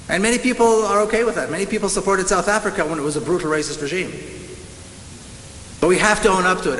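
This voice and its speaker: A middle-aged man with an Israeli accent giving an impassioned conference speech, slowing down to hammer home key words. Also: high-pitched, a bit bright. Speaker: speaker at a conference. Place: large conference hall. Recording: echoey hall with faint hiss.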